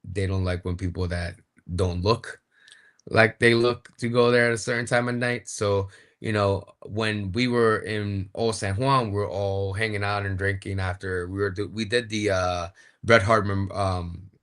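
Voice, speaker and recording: Low-voiced man explaining things casually. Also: steady tone, a little slow, a bit bright. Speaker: wrestler, interview guest. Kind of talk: casual interview storytelling.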